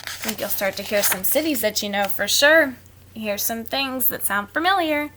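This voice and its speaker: High-voiced woman reading aloud to children. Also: moderate pace, a little hiss or hum, poor audio. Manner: expressive, simple explaining to young listeners. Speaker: teacher reading picture books aloud. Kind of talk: children's read-aloud with casual asides.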